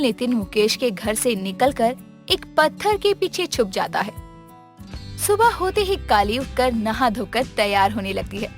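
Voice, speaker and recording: A woman narrating a scripted village story in a dramatic storytelling style. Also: a little high.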